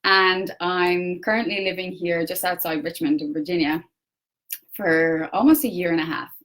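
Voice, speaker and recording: A young Irish woman with an Irish accent gives an earnest solo livestream talk, with many pauses and restarts. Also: on the low side, fairly even intonation, fairly bright tone. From Ireland. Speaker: personal development coach. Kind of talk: solo Facebook Live talk.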